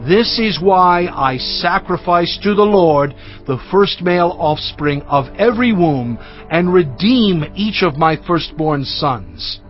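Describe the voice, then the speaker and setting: An earnest prophet leading his people in a biblical audio drama. He declaims in a fairly high male voice, instructing with conviction. The recording is a little muffled, over a music bed. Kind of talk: dramatized scripture reading.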